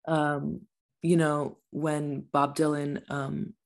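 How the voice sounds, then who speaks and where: Woman hosting a podcast, easygoing chat, kinda flat pitch. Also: very slow, vocal fry. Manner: friendly, wraps up with repeated thanks. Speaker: podcast host. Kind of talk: casual interview chat.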